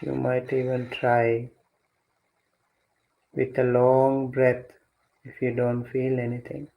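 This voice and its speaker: Slow, gravelly man guiding meditation. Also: mid-range voice, monotone, muffled recording. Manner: gentle, deliberate, with long pauses. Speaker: meditation teacher leading guided meditation. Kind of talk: guided meditation instruction.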